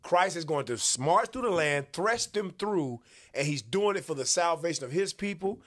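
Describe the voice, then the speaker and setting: A man, hosting a religious commentary show and teaching from the Bible in an animated, preacherly way. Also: on the high side, moderate pace. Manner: animated, preacherly, calls for verses. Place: small podcast studio. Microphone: boom-arm studio mic.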